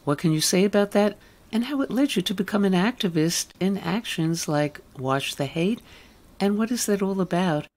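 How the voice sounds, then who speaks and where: A woman's voice: medium pitch, expressive, normal speed, darkish tone. A woman hosting a radio interview, putting questions to a guest and addressing listeners.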